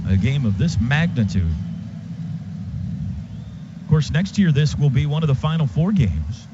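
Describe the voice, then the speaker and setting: Male American play-by-play announcer, fairly high voice, clipped live football calls, dull and noisy stadium broadcast sound. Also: sing-song, unhurried. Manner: clipped phrases during play, conversational between. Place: stadium radio booth.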